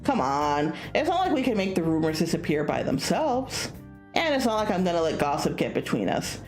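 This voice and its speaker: Woman playing a breezy, protective young senior guy, animated. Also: on the low side, brisk, echoey room, faint music behind. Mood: devoted, teasing.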